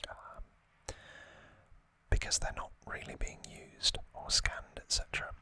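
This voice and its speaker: Softly whispering man, slow ASMR talk. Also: no room sound. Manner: whispering throughout, hesitant, thoughtful pauses. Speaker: ASMR video host. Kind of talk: whispered ASMR, unscripted explanatory unboxing talk.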